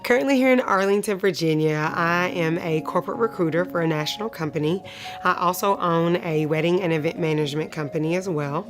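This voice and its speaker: A woman of thirty-five giving a personal testimony in an interview, speaking in a measured way with pauses. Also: lowish voice. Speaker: client of a shelter charity telling her story. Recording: music playing behind the voice. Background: instrumental music.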